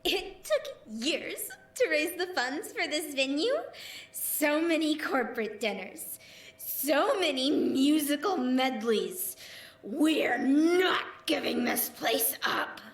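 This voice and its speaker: An actress playing a bubbly, bossy, theatrical ghost dance director in an audio drama. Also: female voice, sits high, animated, slow pace, echoey room, distant mic, full character voice. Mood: insistent. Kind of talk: scripted audio drama acting.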